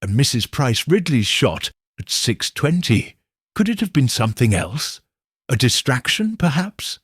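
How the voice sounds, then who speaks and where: A man voicing a shrewd elderly village spinster, speaking in a gentle, probing, knowing way. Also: mid-range voice, very animated, a little slow, husky, no echo, studio quality. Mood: shrewd musing.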